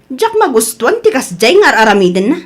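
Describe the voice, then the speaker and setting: Woman acting a shrill, stubborn Ilocano wife. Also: sing-song, a little fast. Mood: disapproving. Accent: Ilocano Filipino. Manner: huffy, insistent. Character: stubborn, grudge-holding village wife, huffy and bossy. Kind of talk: Ilocano radio drama acting.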